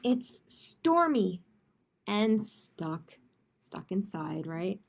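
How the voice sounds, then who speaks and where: A woman's voice: mid-range voice, very animated, very slow, gravelly, slight background noise. A woman hosting a children's storytime, reading picture books aloud expressively and adding simple chatty asides with little check-in questions. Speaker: library storytime host.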